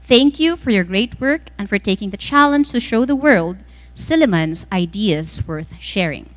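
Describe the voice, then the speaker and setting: A woman delivers a prepared welcome address in a medium-pitched voice. Her intonation is lively and moves widely up and down. She phrases each thought deliberately and dramatically, leaving measured pauses between phrases. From the Philippines. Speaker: event speaker reading welcome remarks on another's behalf. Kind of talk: scripted speech, inspirational welcome address.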